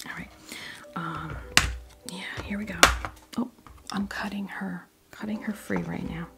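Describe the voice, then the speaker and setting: A woman chatting in a breathy, creaky whisper for an ASMR unboxing video, rambling hesitantly through little asides as the host. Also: medium pitch, steady tone, measured pace. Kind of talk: ASMR, soft rambling chat. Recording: music playing behind the voice. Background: clear background music.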